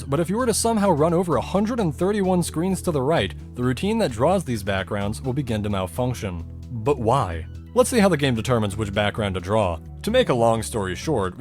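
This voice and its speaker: Male video narrator, animated intonation, scripted explainer style, conversational, dry asides, music behind. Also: medium pitch. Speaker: video creator narrating a technical game explainer. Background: music bed under the voice-over.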